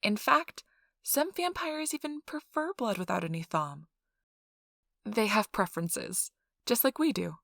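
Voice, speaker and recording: A woman playing a friendly, chatty intake interviewer in a soft ASMR role-play, explaining things politely and informally. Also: mid-range voice, lively intonation, measured pace, vocal fry, dry recording, clean studio sound. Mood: reassuring, chatty. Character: friendly, chatty female intake interviewer at a vampire blood donation center.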